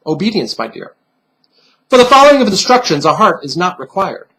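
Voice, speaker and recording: A man's voice: high-pitched, big pitch swings, fairly quick, fairly bright tone. Man voicing a patronizing, solemn bunny pin mentor. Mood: solemn, admonishing. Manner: formal, lecturing, condescending.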